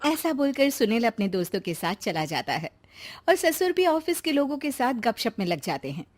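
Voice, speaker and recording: A woman's voice: on the high side, sing-song, moderate pace. A woman narrating a scripted cartoon story as its storyteller.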